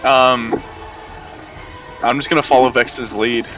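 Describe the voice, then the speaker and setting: A man's voice: mid-range voice, fairly even intonation, takes it slowly, a bit bright. A man chatting casually as a player in a tabletop game. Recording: distant mic, music behind.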